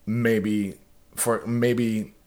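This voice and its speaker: A man with a low voice speaks earnestly on a podcast. He rambles and corrects himself as he works through his thoughts. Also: flat delivery, very slow, darkish tone. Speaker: podcast guest. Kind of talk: casual podcast conversation.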